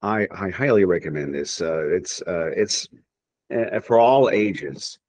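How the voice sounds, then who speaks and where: Man, very low voice, rambling podcast guest who keeps restarting his sentences. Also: animated, a little slow, darkish tone, slight background noise. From Tennessee, USA. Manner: rambling, hesitant, with many restarts and fillers. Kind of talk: casual podcast chat.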